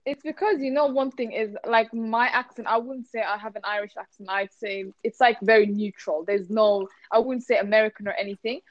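A young woman with a fairly high voice talks frankly in a relaxed chat, padding her thoughts with fillers. The recording sounds a little dull and muffled, with a dry, close feel and no room echo. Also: brisk, hardly any pauses. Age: young adult. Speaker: student co-host. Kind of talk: casual chat.

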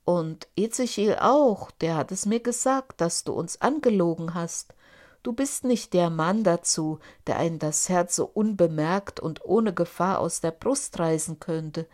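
A sly, defiant young man, voiced by a woman reading a storybook, speaking up boldly and accusingly. The delivery is deliberate and unhurried. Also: on the low side, a little mellow, natural voice. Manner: raised, bold, accusing. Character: young male charcoal burner, defiant and sly. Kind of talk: audiobook character voice.

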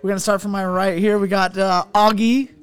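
A man with a notably high speaking voice runs a relaxed podcast with his friends. He talks loosely, curses freely and keeps breaking into laughter. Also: brisk, clear, resonant tone. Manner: loose, swears a lot, laughs often. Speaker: podcast host. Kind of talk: casual chat with friends. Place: home garage at a viewing party.

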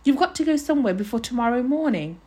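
Earnest storybook boy, played by a woman, nervous, urgent, high, animated. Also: fast, close to an everyday voice. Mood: urging. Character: earnest, nervous young boy, helpful and pleading.

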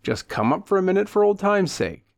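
A narrator doing a pushy, over-chummy guy, high-pitched. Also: very animated, a little fast, gravelly, exaggerated voice. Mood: pushy, coaxing. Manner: exaggerated chummy enthusiasm, insistent. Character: falsely jovial, pushy man greeting an old buddy.

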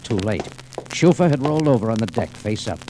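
A man as a wry, animated hardboiled American adventurer. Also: on the low side, fairly quick, heavy background noise, lightly acted. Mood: wry narration. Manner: terse, world-weary, wry. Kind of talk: 1940s radio noir narration and acting.

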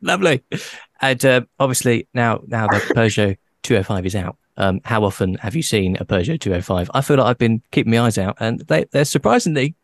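A man hosting a casual podcast interview, his voice sitting low. He talks in a friendly, playful way and laughs easily. The sound is dry, with no room echo. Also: animated, brisk. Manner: chatty, laughs often, playful teasing. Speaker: podcast host.

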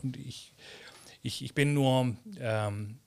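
A man hosting a podcast interview, talking casually and haltingly with many restarts and fillers. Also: mid-range voice, creaky, a little mellow, smooth. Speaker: podcast host.

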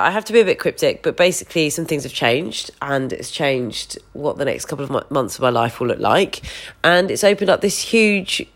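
Candid solo podcaster, a woman in her thirties, congested and stuffy, talking into a phone from her sofa. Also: sits low. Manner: candid, gently meandering with many fillers. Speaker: podcast host. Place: home sofa.

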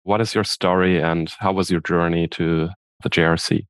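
Deep-voiced male co-host, dry and close. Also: steady tone, moderate pace, dry recording. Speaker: podcast co-host. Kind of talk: casual interview questions.

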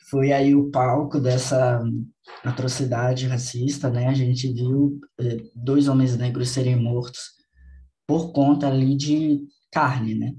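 A man hosts a podcast conversation in a mellow, warm-toned voice at a middle pitch. He speaks earnestly and reflectively, leaning on repeated phrases to stress his point. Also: slight background noise, rough recording. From Bahia, Brazil. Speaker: podcast host.

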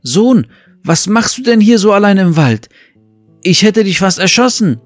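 A man voicing an impulsive, hot-tempered king in a fable, loud and exclaiming. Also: sits high, big pitch swings, measured pace, no room sound, faint music behind, voice pushed up. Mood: alarmed, concerned.

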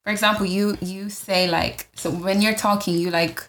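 A woman's voice: medium pitch, steady tone, moderate pace, a bit bright, rough recording. A woman co-hosting a podcast in casual chat, rambling and restarting her questions often. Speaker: podcast co-host.

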